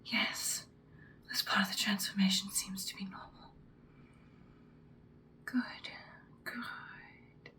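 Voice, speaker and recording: A woman voicing an imperious, seductive ancient vampire in a breathy, dramatic whispered ASMR roleplay. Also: medium pitch, fairly even intonation, pushed and bright. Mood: commanding, ominous. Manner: slow, deliberate, dramatic. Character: ancient female vampire, seductive, imperious, menacing yet protective.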